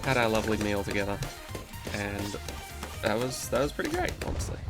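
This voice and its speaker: A man's voice: lowish voice, big pitch swings, a little fast, loud music behind the voice. A man hosting a gaming video, talking in a rambling, laid-back way with a nasal, congested voice from a cold he is getting over. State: recovering from a cold, stuffy nose. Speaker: gaming channel host. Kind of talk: casual commentary over gameplay.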